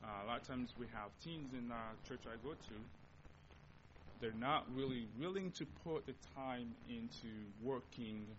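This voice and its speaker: Congolese man speaking haltingly. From Democratic Republic of Congo. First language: Lingala. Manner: halting, searching for words, many restarts. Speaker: missionary. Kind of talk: church missionary presentation. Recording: dull recording with steady low rumble.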